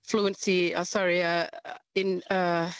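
Woman guest on radio, lowish voice, lots of ums and pauses, dry muffled sound. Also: level tone, clear, resonant tone, dry recording. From northern Australia. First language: a local clan language. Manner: halting, many ums, thoughtful. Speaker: guest, language teacher. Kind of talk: radio interview.

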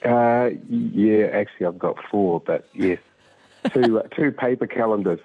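A man with a Glasgow accent calls in to a radio show, his fairly low voice coming through a tinny, dry phone line. He talks hesitantly and fills pauses with ums and uhs. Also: slightly rough, dry recording, faint music behind. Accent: Scottish, Glasgow. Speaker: caller.

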